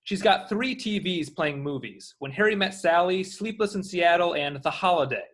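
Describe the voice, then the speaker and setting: A man's voice: high-pitched, lively intonation, normal speed, fairly bright tone. A man reading screen directions as scripted narration.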